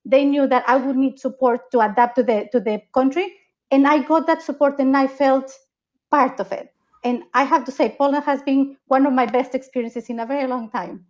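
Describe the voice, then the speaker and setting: Woman from Mexico, high voice, earnest panel speaker, repeats herself, muffled lo-fi sound. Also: steady tone, moderate pace, darkish tone. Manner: earnest, repeats words, tags "right?". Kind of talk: panel discussion.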